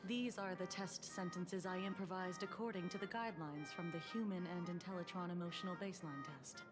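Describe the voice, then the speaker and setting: Actress as composed female defense officer, game dub, lowish voice, measured delivery. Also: steady tone, natural voice. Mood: clinical. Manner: measured, formal, clinical. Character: calm clinical adult woman defense officer. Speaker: game character. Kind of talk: dubbed game dialogue. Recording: game voice with background music. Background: game music.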